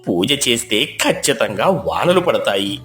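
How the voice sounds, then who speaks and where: A man voicing an old, deaf village headman who speaks slowly and solemnly in a low voice. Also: very animated, a bit bright, soft background music. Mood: ponderous. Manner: slow, low, ponderous speech. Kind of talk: storybook voice acting.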